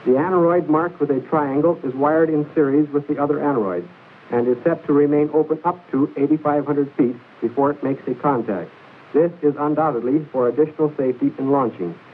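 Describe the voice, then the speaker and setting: A man narrates an old military training film in a formal, measured, precise instructional style, reading from a script. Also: a little high, narrow, tinny audio. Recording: hissy band-limited 1940s film soundtrack.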